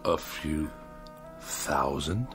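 A wary, self-absorbed man in a radio drama, with a very low voice. He questions deliberately and skeptically, over clearly audible music. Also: heavily acted.

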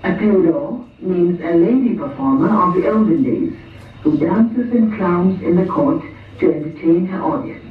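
A man giving a formal, scripted stage introduction as a puppet show announcer, speaking in a measured, presentational way. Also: sits high, animated. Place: theatre, recorded from the audience over speakers. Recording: very echoey, tinny, distant hall PA with noise.

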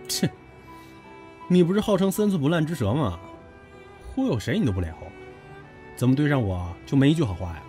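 An actor in an audio drama playing a brash, possessive younger businessman, a blunt, cocky and teasing lover who bickers gruffly. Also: medium pitch, very animated, a little fast, pauses often, dark tone, faint music behind, natural voice. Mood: scolding, gruff.

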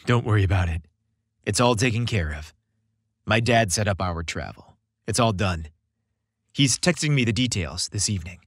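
A man's voice: fairly low pitch, lively intonation, normal speed, long pauses, slightly rough, no echo, clean studio sound. A man voicing an affectionate, hesitant and reassuring young man in a romantic audio story. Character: affectionate young man, evasive about his family.

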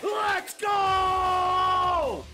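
A man's voice: very high-pitched, steady tone, very slow, raised voice, over music, a crowd in the background, exaggerated voice. A man playing a cocky, goofy, hot-headed young yakuza brawler with brash, bumbling boasts in an anime-style audio drama. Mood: fired up.

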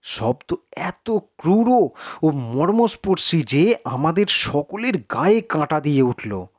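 A man reading a suspense story in a measured, literary, dramatic narration. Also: on the high side, very animated, no room sound, dull audio.

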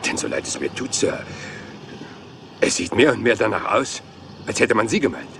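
A man's voice: on the low side, sing-song, moderate pace, vocal fry, a bit bright, a little hiss or hum, exaggerated voice. A man playing a rumpled, polite police inspector who talks hesitantly and deferentially, calling everyone sir, in a dubbed crime series. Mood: apologetic, probing.

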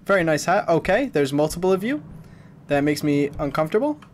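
A man's voice: on the high side, big pitch swings, a little fast, slight background noise. A man in his twenties giving casual, wry, jokey commentary on a horror game as he chats to viewers. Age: 20s. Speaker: gaming streamer. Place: small indoor streaming room. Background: game music.

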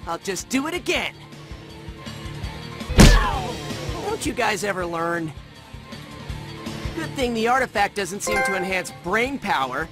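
A man playing a cocky, impatient American teenage boy superhero in an animated video game. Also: high-pitched, slow pace, long pauses, over loud music, heavily acted. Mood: defiant.

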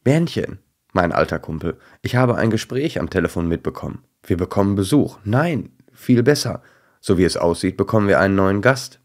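A man voicing an excitable, bossy, playful female dachshund in a children's story. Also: low-pitched, normal speed. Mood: excited.

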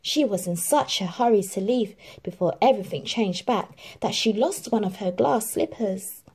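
A woman reading a children's storybook aloud in an expressive, animated narrating style. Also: medium pitch, moderate pace, slightly rough. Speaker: storybook reader.

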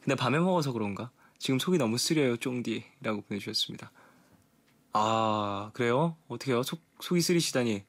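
A man's voice: mid-range voice, lively intonation, fairly quick, fairly bright tone. A young man in his twenties hosting a late-night radio show, chatting politely with phone-in listeners and laughing often. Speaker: radio host. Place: radio studio.

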